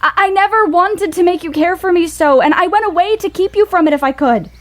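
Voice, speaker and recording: A woman's voice: very high-pitched, a little fast. A woman playing an earnest, stubborn young woman writer in a period audio play. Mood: distressed, pleading.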